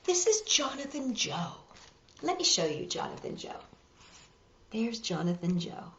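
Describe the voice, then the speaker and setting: A woman's voice: on the high side, big pitch swings, a little slow, sharp tone, roomy sound, highs cut off, a little hiss or hum. A woman hosting a children's storytime, reading picture books aloud and chatting playfully with young viewers.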